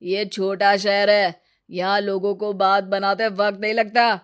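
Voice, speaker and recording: Woman putting on a loud, harsh selfish husband. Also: mid-range voice, little pitch movement, measured pace, smooth, natural voice. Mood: angry, dismissive. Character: harsh, lazy, selfish husband, irritable and dismissive. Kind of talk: Hindi cartoon moral story dialogue.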